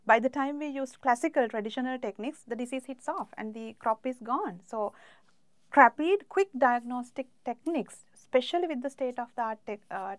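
Brief: Sri woman, 40s, somewhat high voice, steady explainer, dry sound. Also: no room sound. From Sri Lanka. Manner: steady, explanatory, some hesitations. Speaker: plant pathologist. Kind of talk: interview answers to camera. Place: indoor studio, plain backdrop.

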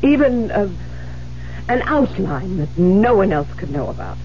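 An actress playing a distraught, guilt-haunted widowed writer, stammering and pleading in 1970s radio drama style. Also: female voice, sing-song, a little slow, a little mellow. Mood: insistent, agitated. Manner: stammering, pleading, highly emotional. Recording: muffled, noisy vintage radio broadcast.

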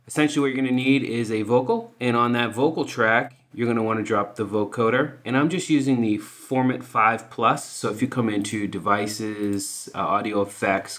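A man hosting a music software tutorial, explaining informally and thinking aloud as he goes. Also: lowish voice. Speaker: music production tutorial host.

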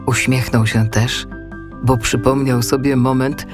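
A man narrating a children's story as an audiobook, with expressive scripted delivery. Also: mid-range voice, unhurried, music in the background.

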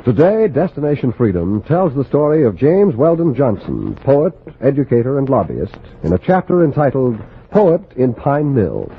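Muffled old-time radio announcer, very animated man. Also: medium pitch, moderate pace, few pauses. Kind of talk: 1940s radio drama announcing. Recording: muffled old radio broadcast, faint noise.

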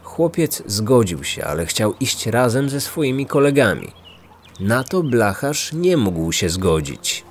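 A man's voice: mid-range voice, big pitch swings, takes it slowly, slight background noise. A man narrating a scripted true-crime podcast as its host, in a grave storytelling manner.

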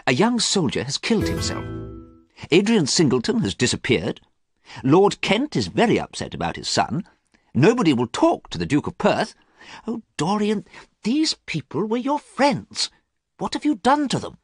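A man reading as an earnest artist who talks quietly and sadly to a friend he fears for. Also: high-pitched, huge pitch swings, normal speed, breathy edge, no echo, clean studio sound, soft background music, pitched above the performer's own voice, heavily acted. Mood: pleading. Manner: quiet, pleading, sad. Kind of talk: audiobook character reading.

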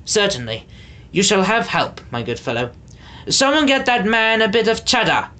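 A pompous, thundering lord from a storybook, played by the narrator. He speaks grandly and brushes everyone off, his voice swooping high and low with lordly self-importance. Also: animated, normal speed, pushed and bright. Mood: condescending. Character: pompous large male lord, red-haired, thundering.

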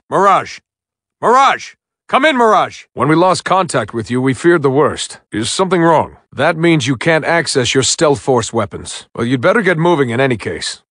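An actor playing a wry military commander, giving orders with dry sarcasm in video game mission dialogue. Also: male voice, a little high, a bit bright, no room sound. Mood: urgent. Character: wry male military commander guiding a soldier.

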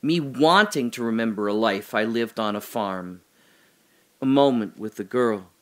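A man's voice: medium pitch, a little slow, close to an everyday voice. An actor playing a man alone in a holding cell who talks through his doubts about his memories in an audio drama monologue, musing and questioning, turning from wry to earnest.

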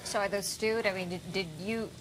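A woman's voice: medium pitch, steady tone, moderate pace, background noise. A woman co-hosting a live morning TV show, asking the guest questions in a curious, friendly way. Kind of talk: live TV chat. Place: TV studio set.

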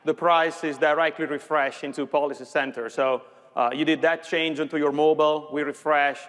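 Guy doing a tech keynote demo, slightly high voice, big echoey hall. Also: fairly even intonation, moderate pace, fairly bright tone. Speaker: software executive presenting a product demo. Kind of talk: live keynote presentation. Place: large conference stage with audience. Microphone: lapel mic. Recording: reverberant large hall sound.

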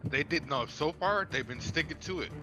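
A man chatting casually with a friend while gaming, laid-back and slangy, getting animated when excited. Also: fairly high pitch, moderate pace, low-quality recording. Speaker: streamer's friend and teammate. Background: game music and sounds.

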